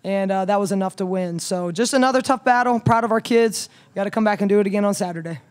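A woman in her thirties, a head coach, talking through a game at a postgame press conference in a measured way, with many ums and restarts, into a table mic. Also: mid-range voice, a little mellow. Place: press room in front of a logo backdrop. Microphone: table mic on a stand.

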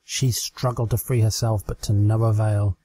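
A man's voice: fairly low pitch, little pitch movement, normal speed, mellow, husky. A British man reading a story aloud as a scripted amateur narration. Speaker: amateur fan fiction reader.

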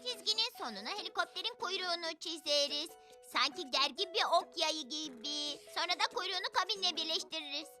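A woman voicing a playful, mischievous little bird in a children's cartoon dub, with an excitable, theatrical manner. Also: very high voice, fairly quick, soft background music, heavily acted. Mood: playful, curious.

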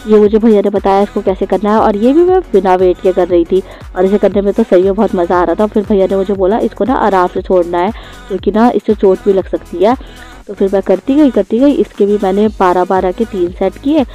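Mellow young woman narrating. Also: mid-range voice, a little fast. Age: 20s. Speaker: vlogger. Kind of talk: casual vlog voice-over. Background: faint music.

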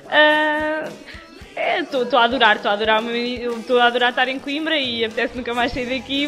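A woman's voice: high-pitched, fairly bright tone. A young adult woman with a Portuguese accent, a university student talking casually in a vox pop. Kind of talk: spontaneous vox pop answer.

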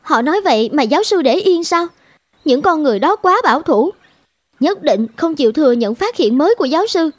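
A woman's voice: high-pitched, lively intonation, a little fast, no echo. A woman voicing a loyal, earnest young medical assistant in a dramatic cartoon dub, emphatic and urgent. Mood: indignant. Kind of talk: dramatic animated-film dubbing.

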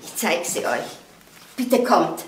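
A woman's voice: fairly low pitch, big pitch swings, slow pace, roomy sound, slight background noise, poor audio. An actress playing an earnest, wistful widowed mother of grown sons, hesitant and imploring, in a naturalistic crime drama. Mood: pleading, wistful.

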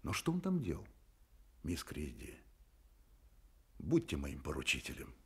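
Radio-play detective, courteous and insistent, husky, very animated intonation, halting phrases. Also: male voice, very deep voice, full character voice. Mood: halting, persuasive. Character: polite, insistent male private detective, hesitant and wry. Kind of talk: Soviet-style radio play acting.

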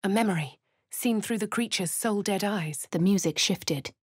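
An actress voicing a posh, haughty female adventurer with a posh English accent, speaking in a cool, measured way in game voice lines. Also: mid-range voice, husky, dry recording, studio quality, natural voice. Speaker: player character. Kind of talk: video game voice lines and narration.

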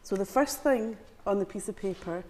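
A woman's voice: mid-range voice, normal speed, a little mellow. A woman, speaking in an encouraging way as she leads a group discussion with children. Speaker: children's workshop facilitator. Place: large community hall, indoors.

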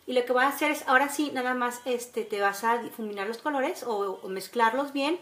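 A woman's voice: a little high, a little fast, a bit bright. A woman in her forties with a Mexican accent, chattily talking viewers through a casual makeup tutorial at home. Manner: instructional, chatty, with frequent checking tags. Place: room at home by a window, indoors.

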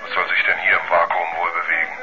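Man acting a low-voiced sceptical astronaut, radio play. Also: completely flat delivery, fast, over music, natural voice. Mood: dismissive. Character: sceptical male astronaut exploring the moon, puzzled. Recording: muffled 1970s radio recording.

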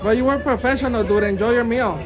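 A man's voice: very high-pitched, fast talker. A young man vlogging on a busy city sidewalk with a handheld phone, taunting and laughing at people he films. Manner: taunting, laughing, talks to camera. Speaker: street vlogger filming restaurants. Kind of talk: confrontational street filming. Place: busy city sidewalk outside restaurant patio. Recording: noisy outdoor street recording. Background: crowd chatter and street traffic.

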